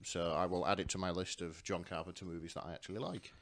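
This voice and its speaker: A man's voice: deep voice, fairly even intonation, fast talker. A man co-hosting a podcast in casual conversation, sounding a little hungover, recorded indoors on a podcast microphone. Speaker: podcast co-host. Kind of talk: casual chat. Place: living room table, indoors.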